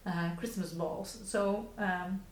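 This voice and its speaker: A woman's voice: lowish voice, steady tone, slow. A woman in her thirties with a Dutch accent, hosting a knitting podcast in a hesitant, casual chat full of fillers and little laughs. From Netherlands. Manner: hesitant, many fillers and restarts, frequent small laughs. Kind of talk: casual show-and-tell chat. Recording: echoey room with faint background hiss.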